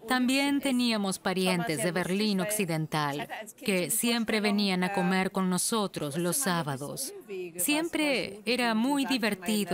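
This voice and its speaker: Actress doing documentary dub of a woman looking back, explains things calmly, people talking behind her. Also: medium pitch, natural voice. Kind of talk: documentary voice-over dub, conversational recollection.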